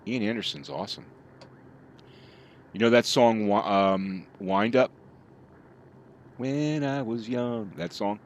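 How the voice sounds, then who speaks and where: Low-voiced middle-aged guy, rambly casual streamer chat, faint engine hum behind. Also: slow, pauses often. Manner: rambling, chatty with live chat.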